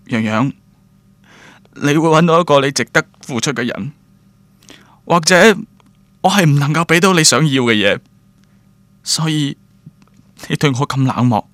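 A man's voice: fairly high pitch, lively intonation, moderate pace, long pauses, a bit bright, no echo. Earnest young lover, lighter male voice. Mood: earnest, hurt. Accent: Cantonese, Guangdong. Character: earnest, wounded young man in love. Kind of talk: radio drama dialogue.

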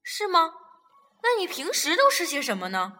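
A woman voicing a gentle, kind and lonely female sea reptile in a children's audio story, speaking softly and kindly. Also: extremely high pitch, wildly swooping pitch, moderate pace, full character voice. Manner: soft, kindly, gently questioning. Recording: reverberant room with music underneath.

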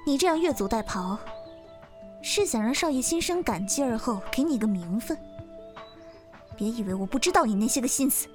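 A woman's voice: on the high side, lively intonation, normal speed, long pauses, a little mellow, soft background music, lightly acted. A woman acting a stern, jealous young wife who runs an old Chinese household, speaking in a haughty, accusing way in an audio drama. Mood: cold, suspicious.

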